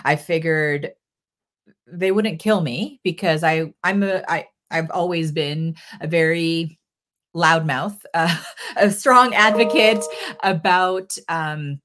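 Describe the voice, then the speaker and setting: Earnest low-pitched woman on a video call. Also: takes it slowly, a bit bright. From Sri Lanka. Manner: earnest, many fillers, speaks in bursts. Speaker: guest filmmaker. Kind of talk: interview answers. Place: home office with bookshelves.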